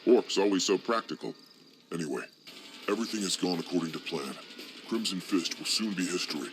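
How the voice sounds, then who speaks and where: A man voicing a scheming demon warlord in a game cutscene, speaking in a smug, slow, menacing manner. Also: sits low, expressive, over music. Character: scheming male demon warlord, cold and commanding. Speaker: villain leader.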